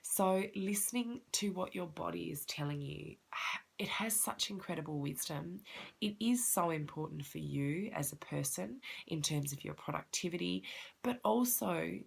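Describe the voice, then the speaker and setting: A woman's voice: lowish voice, animated, normal speed, creaky, breathy edge. A woman with a congested, sniffly voice, talking earnestly and heartfelt in a personal reflective talk to her viewers. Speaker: wellness vlogger.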